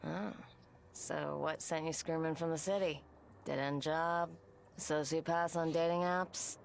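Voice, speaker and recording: A woman's voice: low voice, steady tone, measured pace, gravelly, slight background noise. An actress voicing a sardonic, blunt and chatty young woman butcher in a cozy video game.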